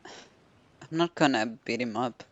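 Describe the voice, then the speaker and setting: A man's voice: mid-range voice, slow, lo-fi sound. A man streaming a game, giving casual playthrough commentary and reading dialogue aloud.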